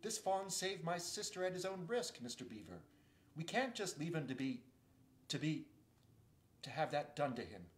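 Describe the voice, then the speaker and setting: A man's voice: on the high side, big pitch swings, moderate pace, voice pushed up. An earnest, eager schoolboy from a storybook, speaking urgently and with emphasis in a raised voice, as a man reading aloud puts him on. The voice carries a roomy echo.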